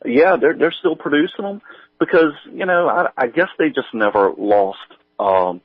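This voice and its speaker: A man chatting casually as an interview guest, thinking aloud in a halting, rambling way. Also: on the high side, lively intonation, no room sound, narrow, tinny audio. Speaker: guest, audio drama writer and producer.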